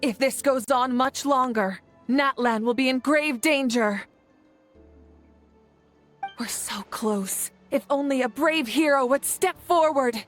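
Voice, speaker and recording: A woman voicing an earnest young woman in a video game who recites a melodramatic plea in a rehearsed, halting way. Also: high-pitched, soft background music. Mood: staged distress.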